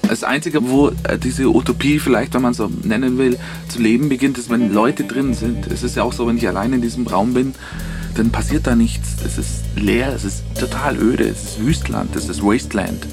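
Gravelly man musing over guitar music. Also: medium pitch, normal speed. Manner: searching for words, repeating phrases. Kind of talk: reflective, halting spoken monologue.

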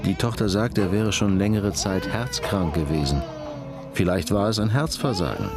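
A man playing a terse, patient police detective who questions in a measured, matter-of-fact way in a radio crime drama. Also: low-pitched, lively intonation. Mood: calm, terse. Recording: music behind the voice.

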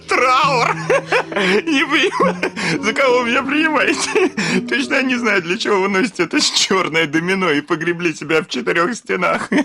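An actor playing a hot-tempered, cynical landowner, blunt and sarcastic, in a theatrical classic radio play. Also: male voice, medium pitch, bright, forceful tone. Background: music.

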